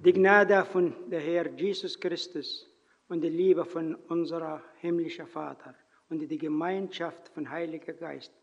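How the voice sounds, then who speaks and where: A man with a non-native German accent preaching earnestly in a church, telling a personal story in halting phrasing and then praying. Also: fairly high pitch, steady tone, vocal fry. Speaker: lay preacher. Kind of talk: sermon with personal story and prayer. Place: church hall. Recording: reverberant hall, dull lo-fi sound.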